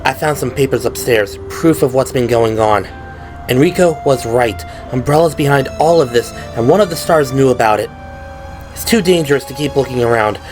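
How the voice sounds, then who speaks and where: A man's voice: medium pitch, normal speed, darkish tone, close to an everyday voice. Man voicing a determined, urgent young policewoman. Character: tough, determined young woman police officer. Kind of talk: audio drama character voice. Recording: music behind the voice.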